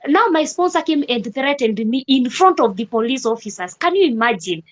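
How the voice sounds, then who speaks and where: A young Kenyan woman telling a personal story in a vlog, with animated emphasis and repeated rhetorical questions. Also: high voice, a little fast. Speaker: vlogger.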